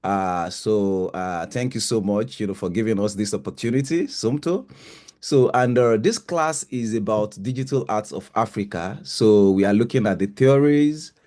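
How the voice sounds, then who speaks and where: A man's voice: lowish voice, moderate pace, a little mellow. A male university lecturer introducing a guest speaker to his class, hesitant and full of "you know" fillers.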